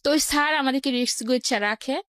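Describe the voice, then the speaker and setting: A woman with a high voice and a Bangladeshi accent shares personal experience as a guest. She narrates in a plain, colloquial way, one step after another. The sound is dry and close. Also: fast talker, a bit bright, dry recording. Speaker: guest telling her life story. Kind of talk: personal storytelling in an interview.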